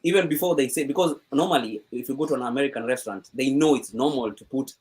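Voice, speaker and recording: A man's voice: medium pitch, fast talker, darkish tone. A man hosting a live stream in casual chat, upbeat and often repeating words.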